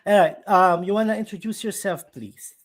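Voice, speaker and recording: A man from the Philippines with a Filipino accent, hosting a casual live chat show, bubbly and quick to laugh, slipping Tagalog phrases into his English. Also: high voice. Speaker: host. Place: upstairs room at home.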